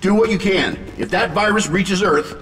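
An actor playing a wry, confident superhero leader in a video game, with sardonic, clipped delivery. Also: male voice, high voice, moderate pace, a bit bright. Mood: brisk, focused. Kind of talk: video game cutscene acting. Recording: long reverb, music behind.

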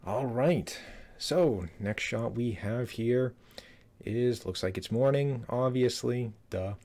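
A man's voice: medium pitch, slow, mellow. A man in his thirties giving casual, rambling commentary on a trailer from a small room, with lots of fillers and restarts. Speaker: YouTube trailer reviewer.

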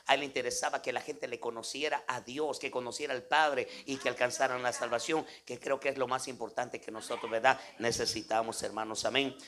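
A man's voice: medium pitch, steady tone, brisk, hardly any pauses, pushed and bright. A man with a Guatemalan accent preaching an impromptu, exhortative sermon to a congregation, peppered with devotional interjections and tag questions. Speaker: evangelical preacher.

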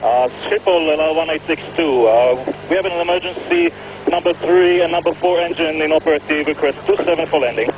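A man's voice: fairly high pitch, brisk. A man, an airline pilot speaking in clipped procedural phrases over aircraft radio. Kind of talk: air traffic radio communication. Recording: muffled, noisy aircraft radio transmission.

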